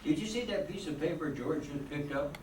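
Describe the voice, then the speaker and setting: A man's voice: fairly high pitch, animated, fairly quick, close to an everyday voice. An older man playing a kindly senior angel who narrates and gives orders in a radio play. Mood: storytelling. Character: kindly senior male angel, storytelling and in charge. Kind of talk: old-time radio play acting, narrating. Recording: echoey, distant, noisy stage recording.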